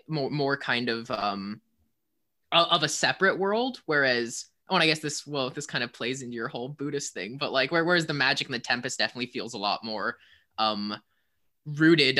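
A man's voice: medium pitch, pushed and bright. A man in a casual panel discussion, talking in a rambling, hedging, thoughtful way as a sound designer. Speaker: co-composer and sound designer of a theater show.

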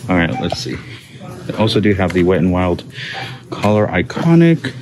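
A man's voice: low-pitched, very animated, moderate pace. A man hosting a shopping vlog, chatting casually and reading product labels aloud as he walks through a store. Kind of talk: casual store walkthrough narration. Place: inside a large discount store. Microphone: handheld phone camera. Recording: phone recording with store background noise. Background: store ambience.

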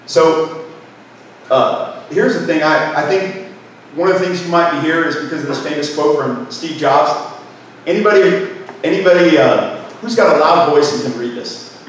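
A middle-aged man giving a lively workshop talk on a handheld mic in a conference room, asking the audience questions. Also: a little high, normal speed, long pauses. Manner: energetic, gestures, asks the room questions. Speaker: business speaker. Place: conference room with projector screen. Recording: echoey room from far off, faint noise.

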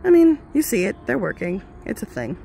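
Gravelly-voiced woman with the flu. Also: mid-range voice, wildly swooping pitch, a little fast, warm tone, slight background noise. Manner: chatty, rambling, self-deprecating. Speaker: vlogger reviewing glasses. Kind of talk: casual rambling review. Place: home, indoors and outdoors in yard.

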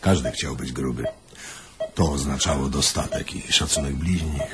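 Musing surgeon, rumbling very low voice, sardonic, callous, radio drama. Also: male voice, moderate pace.

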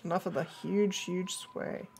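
A woman's voice: lowish voice, fairly even intonation, a little slow, vocal fry, background noise. A woman in her thirties livestreaming a game in casual, chatty talk, her voice strained by a cold. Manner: chatty, talking to viewers and to the game. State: has a cold, losing her voice. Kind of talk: casual livestream gameplay chat. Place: indoors at a streaming setup.

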